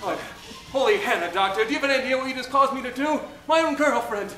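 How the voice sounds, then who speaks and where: A man's voice: very high-pitched, fast talker, bright, forceful tone, reverberant, faint music behind. A man acting an earnest, anguished young hero in a comic old-time radio play, with overwrought melodrama. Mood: indignant.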